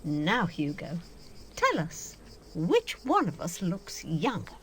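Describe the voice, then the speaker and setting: A woman acts a proud middle-aged actress in a radio play. She speaks slowly and grandly, with a lively swoop in pitch and great self-satisfaction. Faint outdoor ambience sits behind her. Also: a little hiss or hum, full character voice. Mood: boastful. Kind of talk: audio drama acting.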